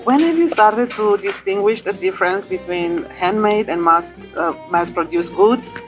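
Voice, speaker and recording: A woman's voice: mid-range voice, moderate pace, soft background music, low-quality recording. Polite, formal woman interview host.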